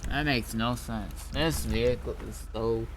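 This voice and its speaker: A man's voice: mid-range voice, fairly even intonation, a little slow, background noise. A man giving casual gameplay commentary as a streamer, muttering to himself as he thinks aloud. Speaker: gaming streamer.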